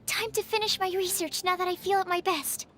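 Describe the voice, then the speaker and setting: A shy young female alchemist from a video game, with a high, girlish voice over music. She speaks softly and politely, choosing precise words, earnest and bookish but hesitant and easily flustered. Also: fairly even intonation, brisk. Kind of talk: video game character voice lines.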